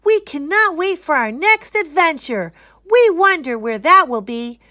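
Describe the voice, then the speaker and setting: An excited, curious young child in a storybook as acted by a woman reader. The pitch sits high and swings widely. The delivery is bubbly and eager, full of exclamation. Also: a little slow, no room sound, studio quality, exaggerated voice. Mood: delighted. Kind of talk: children's picture book read-aloud.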